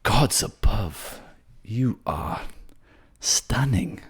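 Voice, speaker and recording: A man's voice: fairly low pitch, lively intonation, drawn-out words, creaky, husky, pitched above the performer's own voice, full character voice. Man playing a lilting, flamboyant fantasy noble. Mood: tender, cheeky. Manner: effusive, teasing, calls listener pet names. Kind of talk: fantasy audio drama acting.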